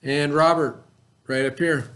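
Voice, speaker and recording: A man's voice: on the high side, fairly even intonation, a little slow, fairly bright tone. A male elementary school teacher talking informally to young pupils in a simple, rambling way and leading a read-aloud lesson.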